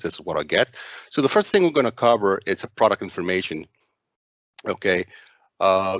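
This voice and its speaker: A man narrating a software demo walkthrough as its presenter, speaking in a halting, hesitant way with frequent stumbles. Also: fairly low pitch, dry recording, dull audio.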